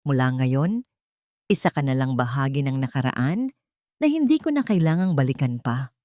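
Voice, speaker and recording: A woman narrator voicing a calm, resolute woman army officer, solemn and deliberate, in a dramatic story. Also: very deep voice, no echo, clean studio sound, voice pushed down.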